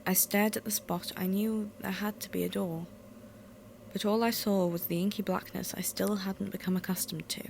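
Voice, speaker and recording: A woman's voice: mid-range voice, steady tone, moderate pace, creaky, darkish tone. A woman reading a scripted first-person horror story as narrator. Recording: faint background noise, amateur recording.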